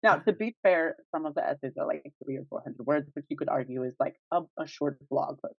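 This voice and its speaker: A woman co-hosting a podcast in casual chat, with an animated, exclamatory way of talking. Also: deep voice, a little fast, slightly rough, no echo, lo-fi sound. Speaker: podcast co-host.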